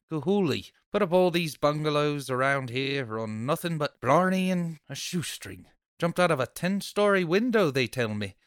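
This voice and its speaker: Man playing a lively, folksy, nosy neighbor. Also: fairly high pitch, clear, resonant tone, no room sound, studio quality. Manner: folksy, blustery, colloquial. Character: nosy, chatty, stubborn Irish neighbor, off-duty policeman. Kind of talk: table read of 1930s radio horror play.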